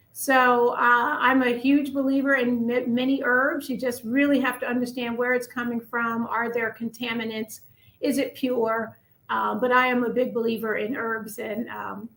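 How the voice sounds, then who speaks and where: A woman's voice: fairly high pitch, a bit bright, poor audio. A woman in her fifties, a family physician and acupuncturist, talking earnestly as a radio interview guest. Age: 50s.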